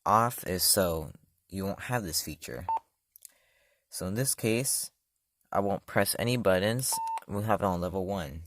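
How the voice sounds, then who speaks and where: A man's voice: deep voice, unhurried, fairly bright tone, dry recording. A man casually demoing a product, talking loosely with many fillers and self-corrections. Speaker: product reviewer. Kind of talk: casual hands-on demo.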